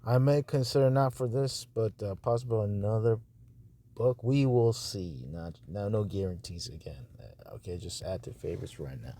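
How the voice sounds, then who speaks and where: A man hosting a casual review of voice-over auditions, speaking in halting, repetitive asides as he goes. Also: fairly low pitch, mellow. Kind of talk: casual screen-recording commentary.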